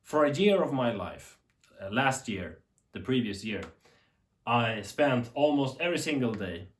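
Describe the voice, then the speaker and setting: A man's voice: medium pitch, animated, a little slow. A young man from Sweden with a Swedish accent, talking unscripted to camera in a rambling, earnest way that often corrects itself. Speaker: tech YouTuber. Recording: echoey room.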